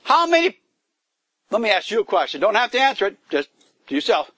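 A high-pitched man preaching a sermon, his voice climbing into forceful, emphatic bursts as he quizzes the congregation. The sound is close and dry, with almost no room around it. He pushes hard on key words. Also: big pitch swings, a little fast, no echo. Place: church gathering in the woods.

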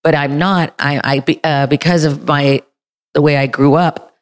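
A woman with a deep voice sharing candidly in a church group, emotional and halting, with many fillers. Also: steady tone. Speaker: group member.

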